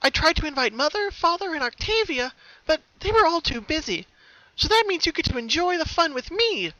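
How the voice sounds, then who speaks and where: A man voicing a bubbly, giggly, excitable young female pony in a dramatic story reading. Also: extremely high pitch, brisk, no echo, voice pushed up, full character voice, poor audio. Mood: giddy excitement. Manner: excited, bouncy, gushing delivery.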